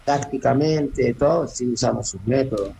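A man's voice: medium pitch, moderate pace, creaky, mellow, slight background noise, rough recording. A middle-aged man from Cape Verde, a former footballer talking as a phone guest in a casual radio interview, hesitant and full of restarts and fillers. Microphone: phone call.

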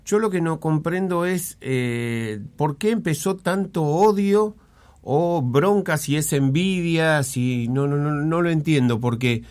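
A man who hosts a radio interview. He speaks haltingly and sounds puzzled as he searches for his words. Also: a little high, a little mellow. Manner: hesitant, puzzled, halting phrasing. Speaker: radio host.

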